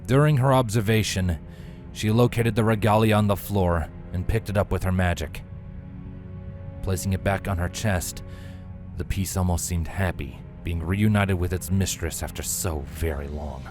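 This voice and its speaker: A man narrates a fantasy story in a deep voice. His delivery is measured and solemn, like scripted dramatic fiction reading. Light music runs behind him. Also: fairly even intonation. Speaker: narrator, fan fiction reader.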